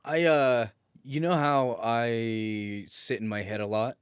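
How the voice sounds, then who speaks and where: A man's voice: medium pitch, fairly even intonation, takes it slowly, clear, resonant tone, dry recording, studio quality. A man co-hosting a podcast in casual talk, candid and teasing. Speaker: podcast co-host. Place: small office.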